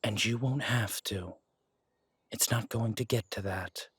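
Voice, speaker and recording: Unhurried husky tough guy in an audio drama, played by a man, calm and sure of himself, low voice. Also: dry recording, studio quality, heavily acted. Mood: assured. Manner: calm, self-assured, reassuring. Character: confident easygoing adult man, hard to kill.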